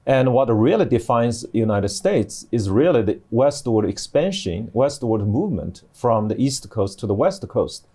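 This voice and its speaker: A middle-aged man explaining things on a panel as a professor, in a halting way with many restarts. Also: mid-range voice, very animated, mellow. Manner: halting, hesitant delivery with repeated restarts. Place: TV studio.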